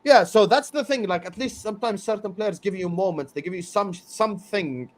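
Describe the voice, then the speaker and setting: Football stream host guy, slightly high voice, emphatic, talks over his cohost a lot. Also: fairly quick. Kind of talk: casual football debate on a livestream.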